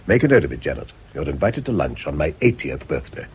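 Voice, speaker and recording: An actor playing a wealthy, urbane English gentleman aesthete with a British accent, drily witty and teasing in radio drama style. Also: rumbling low, sing-song, fast talker, husky, exaggerated voice. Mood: breezy, playful. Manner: suave, drily witty, flamboyant.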